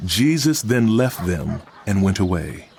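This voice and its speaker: A man's voice: deep voice, lively intonation, unhurried, gravelly, darkish tone, slight background noise. A man giving scripted narration for a dramatized reading of scripture. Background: background music.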